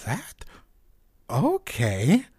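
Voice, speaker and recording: An eager rookie gumshoe in a comic noir audio play, husky and swinging widely in pitch. The smooth seducer act keeps slipping toward fluster, all recorded close and dry. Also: wildly swooping pitch, very slow, mellow, no room sound, exaggerated voice. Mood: flustered, puzzled. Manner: mock-smooth flirting that slips into flustered exclamations. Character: eager rookie detective, awkwardly playing suave tough interrogator. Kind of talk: noir comedy audio drama acting.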